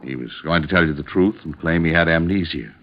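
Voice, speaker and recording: A desperate, jealous lover who has killed, speaks in a deep voice with the fervor of 1950s radio drama. The recording is old and muffled, with a narrow, dull sound. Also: male voice, a little fast. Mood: defensive.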